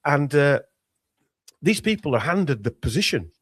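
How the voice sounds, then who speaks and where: A man's voice: a little high, sing-song, unhurried, crowd noise. A man with a Yorkshire accent talking at length as an author guest in an interview, with many fillers and restarts. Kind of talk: conversational interview.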